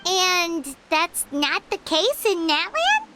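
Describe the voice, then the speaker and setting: A woman voicing a tiny, excitable, curious childlike fairy companion with a squeaky voice in a video game. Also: slow pace, heavy background noise, full character voice. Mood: curious, puzzled. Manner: exclamatory, speaks of self in third person. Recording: loud game music behind.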